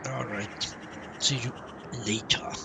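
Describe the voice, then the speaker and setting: A man's voice: medium pitch, fairly even intonation, takes it slowly, vocal fry, far from the mic, heavy background noise. A man giving casual live commentary as he streams a game, blunt and sweary, at times reading dialogue choices aloud. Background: video game music and sounds.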